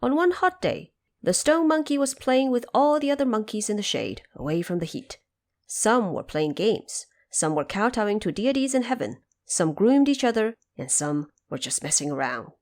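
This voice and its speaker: A woman narrates a storybook audio drama in a theatrical way. Her mid-range voice moves with lively, expressive intonation through the scripted tale. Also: medium pitch, normal speed.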